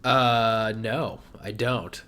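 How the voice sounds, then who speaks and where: A man's voice: fairly low pitch, extremely slow, sharp tone, clear, resonant tone. A man co-hosting a casual comedy podcast, nasal from a cold, wry and self-deprecating with frequent chuckles. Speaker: podcast co-host.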